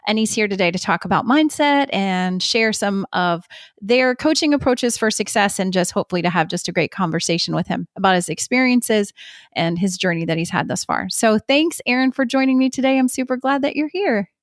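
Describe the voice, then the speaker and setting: A woman's voice: mid-range voice, brisk, dry recording, studio quality. A woman hosting a podcast, reading an ad and welcoming a guest in an upbeat, encouraging way. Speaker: podcast host. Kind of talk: scripted ad read and warm interview hosting.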